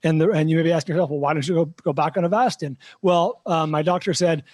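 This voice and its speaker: A man with a hoarse, raspy voice, a show regular giving a halting, filler-heavy health update in casual podcast chat. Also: fairly high pitch, steady tone, darkish tone, no echo. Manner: halting, many fillers and restarts.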